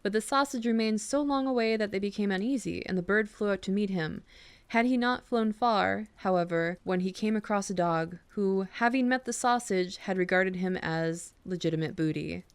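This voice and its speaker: A woman speaking softly and soothingly in an intimate, gently teasing ASMR bedtime roleplay, close to the microphone. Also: medium pitch, normal speed, clean tone, no room sound. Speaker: ASMR roleplay performer playing a girlfriend. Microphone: close microphone, dry sound.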